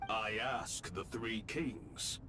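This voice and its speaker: Solemn, slow-spoken saint. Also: male voice, noisy background. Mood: grave. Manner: grave, formal, deliberate. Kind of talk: theatrical video game acting. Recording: clear rumbling game sound behind.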